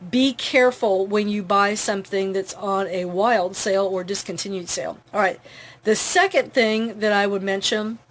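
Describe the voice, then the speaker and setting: A woman's voice: mid-range voice, normal speed. A woman hosting an informal live advice broadcast, instructive and earnest, often checking in with the listener as she explains. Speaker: host of a live advice broadcast for truck drivers. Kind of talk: informal live tips talk to camera.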